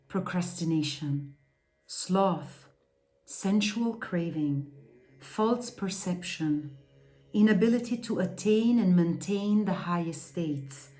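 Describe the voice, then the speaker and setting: A woman with a fairly low voice reads a scripted lesson on yoga philosophy. Her delivery is measured and deliberate, with each term carefully pronounced. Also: warm tone. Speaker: reader of a yoga philosophy text. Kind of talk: scripted reading, instructional.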